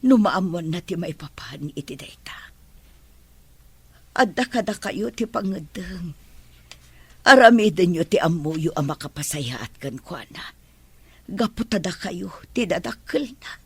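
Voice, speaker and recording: A patient, dutiful maid, with a husky, breathy voice. She speaks gently and deferentially in radio-drama style. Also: fairly low pitch, animated, unhurried, pauses often, dry recording, heavily acted. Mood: respectful, subdued. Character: humble, patient, dutiful female household maid. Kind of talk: Ilocano radio drama acting.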